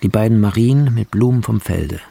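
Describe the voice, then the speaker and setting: A man's voice: lowish voice, normal speed, dark tone, slight background noise. A man narrating scripted shot descriptions in clipped, descriptive phrases. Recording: clear music behind the voice.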